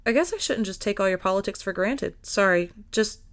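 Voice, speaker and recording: A woman's voice: mid-range voice, animated, brisk, fairly bright tone. Easygoing, teasing guy read by a woman. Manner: casual, teasing. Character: easygoing, teasing, friendly man. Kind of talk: acted fiction reading.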